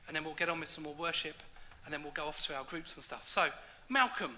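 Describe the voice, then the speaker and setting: A man's voice: a little high, fast, pushed and bright, slight background noise. A man hosting a church event, interviewing a guest on stage in a jokey, encouraging way. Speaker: event host.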